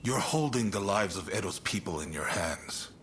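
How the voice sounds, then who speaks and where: A man acting an affable, shrewd statesman in a video game cutscene, expressive and earnest but conversational. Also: fairly low pitch, moderate pace, a little hiss or hum. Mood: urgent, earnest. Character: affable shogunate statesman, shrewd negotiator, warm toward his ally. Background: music.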